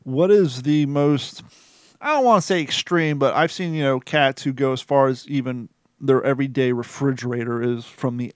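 A man's voice: mid-range voice, dry recording. A man in his forties from Kentucky with an American accent, hosting a podcast in a folksy, colloquial storytelling way. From Kentucky, United States. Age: 40s. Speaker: podcast host. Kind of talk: casual chat, storytelling.

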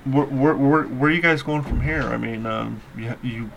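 A man hosting an interview, praising his guests at length and asking long, winding questions. His voice sits at a middle pitch. A light hiss of noise lies under the recording. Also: fairly even intonation, moderate pace, gravelly, fairly bright tone, dull audio. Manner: talkative, long rambling questions.

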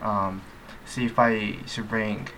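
Bright-voiced hesitant actor. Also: male voice, lowish voice, level tone, slow, slight background noise. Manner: hesitant, many fillers. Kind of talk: interview answers.

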